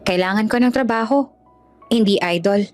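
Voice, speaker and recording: A woman's voice: mid-range voice, moderate pace, darkish tone, soft background music, close to an everyday voice. A woman voicing a feisty, blunt and emotional young provincial woman in a romance audio drama. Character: feisty, plain-spoken young provincial woman, anxious but brave.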